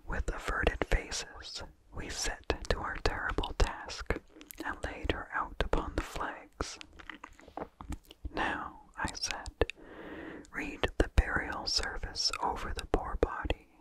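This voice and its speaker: An ASMR host reading in a breathy, unvoiced whisper, hushed and deliberate, with incidental mouth sounds close to the microphone. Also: rough recording. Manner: slow, deliberate, hushed delivery with long pauses. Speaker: ASMR host reading a vintage horror story.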